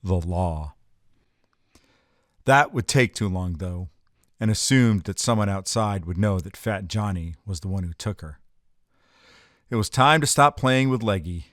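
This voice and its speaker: A man's voice: deep voice, lively intonation, unhurried, pauses often, a bit bright, no room sound, studio quality. A man playing a hard-boiled, wisecracking detective in a noir audio drama, terse and sardonic. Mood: brooding.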